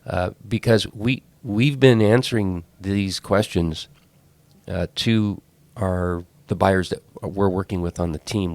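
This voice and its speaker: A man's voice: deep voice, a little mellow, dry recording. A man hosting a podcast with a head cold and a clogged, congested voice, talking casually and rambling with frequent restarts. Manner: rambling, self-correcting. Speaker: podcast host. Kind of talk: casual talk.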